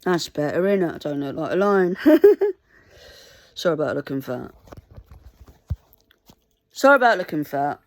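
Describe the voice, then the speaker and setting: A woman whose first language is Mandarin, talking earnestly to camera in a halting, pleading way. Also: deep voice, sing-song, darkish tone, no echo. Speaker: vlogger. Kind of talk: casual talk to camera.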